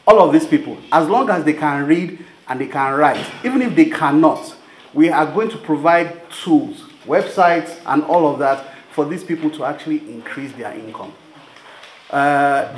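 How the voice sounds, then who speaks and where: Nigerian guy doing a casual talk at an event, kinda high voice, asks the crowd questions, echoey room. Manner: conversational, rhetorical questions, jokes with audience. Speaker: presenter of a business training program. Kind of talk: informal public presentation with storytelling. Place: live event room before an audience. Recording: roomy venue sound with background noise.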